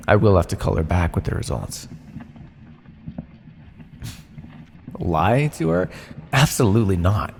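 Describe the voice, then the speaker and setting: A man playing a condescending, conflicted vampire captor and scientist in a theatrical ASMR roleplay, teasing the listener with sighs and drawn-out words. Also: medium pitch, vocal fry, big-room sound, slight background noise. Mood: conflicted, wry.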